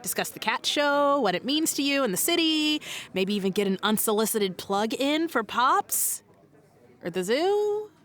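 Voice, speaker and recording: Eager, high-voiced young reporter. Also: female voice, very animated, unhurried, fairly bright tone, exaggerated voice. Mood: eager, awkward. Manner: chatty, awkward, earnest. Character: eager, awkward young woman radio reporter. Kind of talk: comedy audio drama acting.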